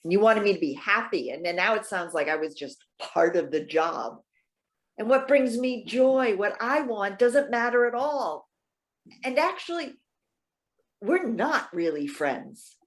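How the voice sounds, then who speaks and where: A woman playing a lonely, proud elderly lady in a stage reading, halting and questioning with wounded politeness. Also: medium pitch, expressive, close to an everyday voice. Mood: indignant, hurt. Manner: halting, stammering, emphatic questioning. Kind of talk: readers theater stage acting.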